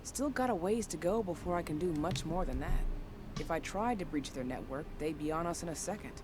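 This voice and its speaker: A woman's voice: low voice, animated, fairly quick, background noise. An actress playing a modest, caring and practical female hacker in a video game, with an understated delivery. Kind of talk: video game cutscene acting.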